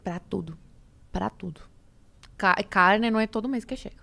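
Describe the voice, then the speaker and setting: Young cuban woman w spanish accent, chatty storyteller, acts out who said what, normal pitch. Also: normal speed, creaky, sharp tone. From Cuba. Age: 20s. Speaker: podcast guest. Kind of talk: casual conversational storytelling.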